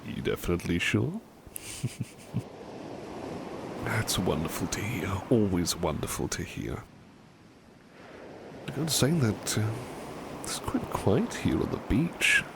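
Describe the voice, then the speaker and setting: A man playing a devoted, polite butler in an ASMR roleplay, gushing with curious delight in genteel, halting phrases. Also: lowish voice, very animated, gravelly, noisy background, full character voice. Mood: enchanted, fond. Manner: genteel, effusive, halting with repeated words. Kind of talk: ASMR roleplay, personal attention to the listener.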